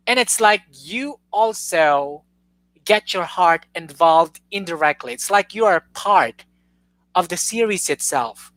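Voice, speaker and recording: Gushing woman host, bright and crisp. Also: medium pitch, no room sound, rough recording. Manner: earnest and gushing, with restarts. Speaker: YouTube host. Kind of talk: casual live-stream chat.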